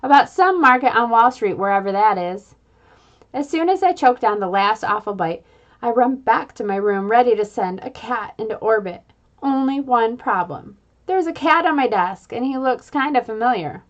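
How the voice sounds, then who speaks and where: A woman's voice: a little high, moderate pace, muffled recording. Woman reading a children's story aloud. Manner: expressive, playful storytelling with sound effects. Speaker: reader. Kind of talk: children's book read-aloud.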